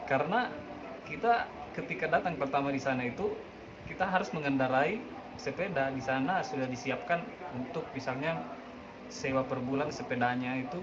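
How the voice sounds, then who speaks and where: A man hosting a casual vlog and online interview, friendly and chatty, often pausing with hesitations. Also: medium pitch. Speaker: host. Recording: dull lo-fi sound with music behind.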